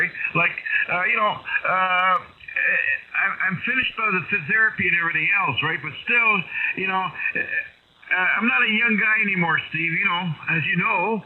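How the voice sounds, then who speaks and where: Tinny, static-laden radio voice of an elderly man. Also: high voice. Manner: halting, rambling, often ending with "eh" or "right". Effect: two-way radio. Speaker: amateur radio operator. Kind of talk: casual radio chat. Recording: tinny band-limited radio with loud static.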